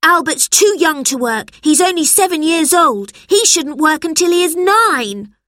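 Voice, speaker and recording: A woman's voice: sits high, lively intonation, measured pace, a bit bright, dry recording, clean studio sound, voice pushed up, heavily acted. A woman voicing a protective, indignant boy who stands up for his younger friend, in a storybook reading. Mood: earnest, indignant. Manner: earnest, indignant.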